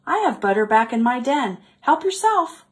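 A kind, generous porcupine played by a woman reading aloud from a children's storybook. She calls out helpfully to her neighbours with swooping, lively intonation. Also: on the high side, moderate pace, voice pushed up. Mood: eager, generous.

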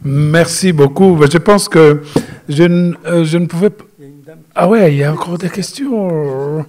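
A man's voice: on the high side, unhurried, darkish tone. A man moderating a panel, brief and polite, handing the floor to the audience.

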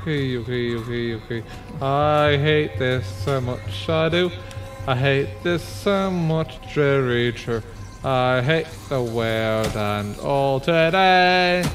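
A young male streamer talks at an unhurried pace from a lit-up home room, wearing headphones at his desk mic. He reacts to a game with goofy, mock-dramatic asides to chat, at a medium pitch, while the game's music runs underneath. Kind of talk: casual game-stream commentary. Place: home room with colored lights.

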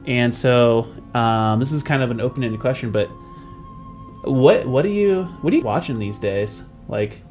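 A man's voice: mid-range voice, lively intonation, normal speed, a little mellow. Casual male podcast host, music behind. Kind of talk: casual chat.

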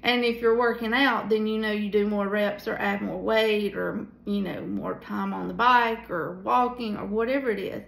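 A tired woman in her forties leading a casual Bible study from a small room at home, talking into a phone held at arm's length, with many pauses and fillers. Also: medium pitch, steady tone, gravelly, roomy sound. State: tired at the end of the day. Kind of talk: casual devotional teaching. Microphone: phone camera at arm's length.

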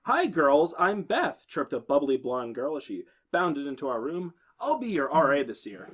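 A young man hosting a podcast, reading a horror story aloud to friends and pitching up for the girls' lines. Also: very animated, moderate pace, a bit bright, husky.

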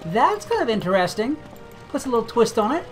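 A game video creator giving casual gameplay commentary, thinking aloud in a relaxed, chatty way. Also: mid-range voice, fairly quick. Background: game music.

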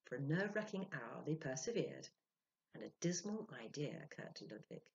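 A woman in her sixties giving a theatrical, expressive dramatic reading of a story as a professional storyteller. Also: a bass voice, moderate pace, gravelly. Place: small indoor room. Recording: dull lo-fi webcam sound.

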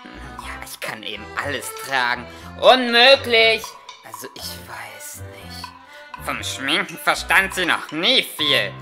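A man's voice: mid-range voice, sing-song, slow, bright, forceful tone, faint music behind, full character voice. A man voicing vain, gossiping female houses in a children's audiobook, with an affected, catty manner. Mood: catty, chattering. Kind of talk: children's audiobook character voices.

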